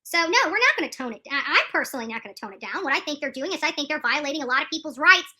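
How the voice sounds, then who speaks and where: Casting: woman live commentator, very bright forceful high voice, opinionated, repeats herself for emphasis. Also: expressive, rapid-fire. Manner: emphatic, repeats phrases for stress. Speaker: streamer commenting on court news.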